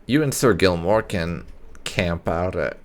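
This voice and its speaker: A man hosting a casual let's play with light roleplay, explaining things in a wordy, measured way. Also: low voice, lively intonation. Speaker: gaming YouTuber, let's play host.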